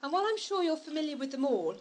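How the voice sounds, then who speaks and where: Casting: eager, teasing young female companion, played by an actress, high animated voice, noisy old audio drama recording. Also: quick pace. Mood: teasing, urgent.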